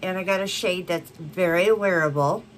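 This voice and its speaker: A woman's voice: on the low side, moderate pace, slight background noise, rough recording. A woman in her thirties chatting to camera as a beauty vlogger, congested from a cold, rambling with many fillers. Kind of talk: casual chat to camera. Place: home room with a plant wall and neon signs.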